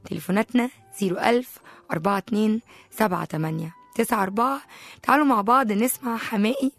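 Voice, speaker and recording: A woman with an Egyptian accent and a slightly hoarse voice, chatting playfully and directly to listeners as a live radio host. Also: mid-range voice, fast talker, faint music behind. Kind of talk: casual live radio talk. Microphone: close studio microphone.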